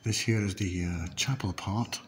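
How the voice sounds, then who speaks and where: A man casually narrating a walking tour, pausing with "uh" as he points things out, recorded on a handheld phone. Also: low voice. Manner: unhurried, pauses with "uh" while pointing things out. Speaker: video tour guide. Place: walking through a library and along a high street. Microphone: handheld phone camera. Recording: noisy phone recording with room ambience.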